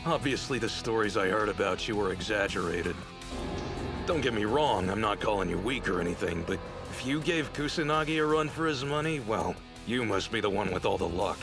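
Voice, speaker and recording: An actor playing a blunt, cocky teenage martial artist in an anime dub, laid-back and casually condescending. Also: male voice, lowish voice, lively intonation, a little fast. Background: music.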